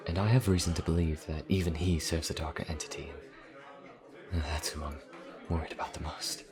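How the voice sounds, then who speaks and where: An actor playing a nervous, earnest vampire traveler in a fantasy audio roleplay, speaking hesitantly with stammers. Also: male voice, a bass voice, steady tone, a little fast, crowd noise. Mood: grave, worried.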